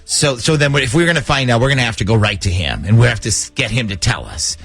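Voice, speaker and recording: A man's voice: medium pitch, expressive, quick pace, fairly bright tone, breathy edge, soft background music. A man hosting a morning radio show, chatty and jokey as he banters and sets up prank calls.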